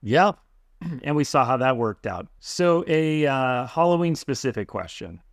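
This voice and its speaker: A man hosting an interview, speaking in a relaxed way and thinking aloud with fillers. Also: mid-range voice, a little slow, dry recording, clean studio sound. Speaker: podcast host. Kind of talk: conversational interview.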